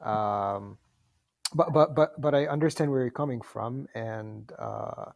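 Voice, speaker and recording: A man's voice: mid-range voice, measured pace, creaky. A man whose first language is Arabic, debating casually and politely, often stammering and repeating words as he talks. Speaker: discussion participant in an audio chatroom.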